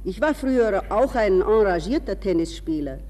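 A woman voicing a courteous, flirtatious ageing gentleman in a radio drama, formal and gallant. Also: medium pitch, lively intonation, moderate pace, long reverb tail, lightly acted. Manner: formal, gallant, self-deprecating.

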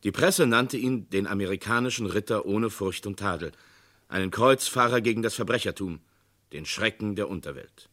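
A man narrating a 1960s radio crime play in a measured, documentary tone. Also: fairly low pitch, pushed and bright. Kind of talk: scripted radio drama narration.

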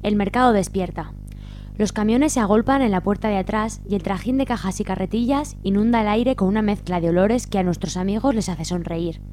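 A woman's voice: medium pitch, brisk, dark tone. Female radio drama narrator over music. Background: music behind the voice.

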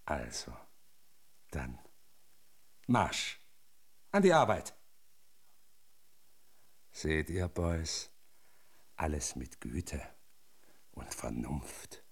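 An actor in a German radio drama playing a menacing, falsely kindly marshal who lectures sardonically, with a husky voice. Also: male voice, rumbling low, very animated, very slow, long pauses, heavily acted. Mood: threatening, commanding. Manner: mock-paternal, sardonic lecturing with sudden barked commands.

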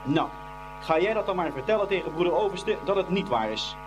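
An actor playing a stern, angry schoolteacher in a radio play, curt and commanding. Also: male voice, sits high, animated, a little fast, over music, low-quality recording. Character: stern, angry male schoolteacher breaking up a fight.

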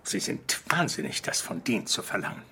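An actor playing a dry, precise, grumbling police deputy in a German radio crime drama. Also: male voice, low-pitched, sing-song, moderate pace, gravelly, pushed and bright, slight background noise, heavily acted. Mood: exasperated. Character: erudite, grumbling, wine-drinking police deputy.

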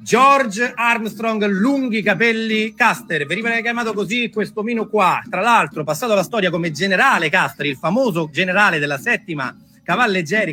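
A man's voice: sits high, bright, forceful tone. A man telling history as a theatrical monologue, emphatic, repeating himself and drawing out key words. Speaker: theatre storyteller and performer. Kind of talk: dramatised historical storytelling monologue for an audience.

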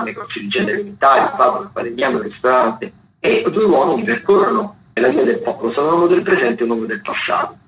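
A man hosting a radio show, talking through theatre listings in a halting, unscripted way. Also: mid-range voice. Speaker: radio host. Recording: dull, lo-fi radio sound with clear noise.

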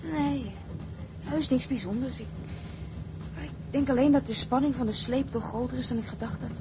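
Vastberaden hoge vrouwenstem, gespeeld door een actrice. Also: female voice, high voice, moderate pace, background noise, lightly acted. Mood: uneasy. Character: bold, stubborn, watchful seafaring wife on a tugboat. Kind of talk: Dutch period drama acting.

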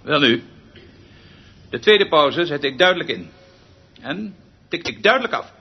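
An actor playing a pompous, eccentric male orchestra conductor in a radio drama, theatrical and pedantic, speaking deliberately with pregnant pauses. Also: fairly high pitch, sing-song, gravelly, muffled recording, slight background noise, heavily acted. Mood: lecturing, pedantic.

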